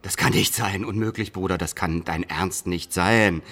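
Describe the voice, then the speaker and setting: An actor playing a boastful, scheming student in a German radio play, mocking and grandiose, with theatrical declamation. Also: male voice, sits low, normal speed, heavily acted. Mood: incredulous, grandiose. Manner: theatrical, declamatory, swinging from conspiratorial to shouting.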